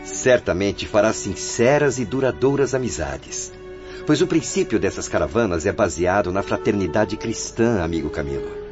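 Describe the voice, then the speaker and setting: Man acting a deep, solemn Brazilian spirit guide. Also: expressive, normal speed, natural voice. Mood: earnest. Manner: didactic, formal, solemn. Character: solemn male spirit guide, grave patient instructor. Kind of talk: Brazilian radio drama acting. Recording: dull lo-fi radio drama audio with music.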